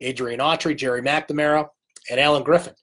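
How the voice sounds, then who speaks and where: A man's voice: a little high, steady tone, a little fast, fairly bright tone. A man hosting a live sports recap stream, casual and opinionated, answering viewer comments while losing his voice to a cold. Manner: chatty, opinionated, reads viewer comments aloud.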